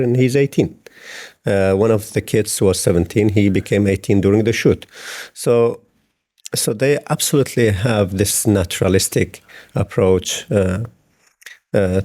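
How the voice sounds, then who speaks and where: Low, dark-voiced hesitant man. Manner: hesitant, many fillers and restarts. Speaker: film director. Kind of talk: interview answers.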